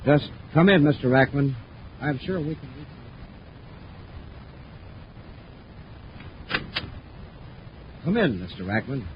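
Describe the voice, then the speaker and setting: An actor playing a hesitant, deferential space company underling in a 1950s radio adventure serial. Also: male voice, medium pitch, big pitch swings, moderate pace, natural voice. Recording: muffled, hissy 1950s radio broadcast.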